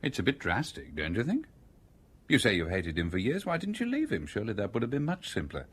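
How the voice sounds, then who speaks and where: Coolly probing British stranger, lively pitch. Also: male voice, fairly quick, breathy edge, exaggerated voice. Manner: probing, urbane, conversational. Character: inquisitive, coolly composed stranger, a male engineer. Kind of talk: radio drama acting.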